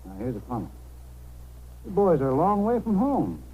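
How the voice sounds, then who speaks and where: An actor playing a kindly, generous, well-spoken country householder in a 1930s radio drama. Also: male voice, a little high, huge pitch swings, normal speed, narrow, tinny audio. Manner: genial, helpful, well-spoken. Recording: noisy 1930s radio broadcast.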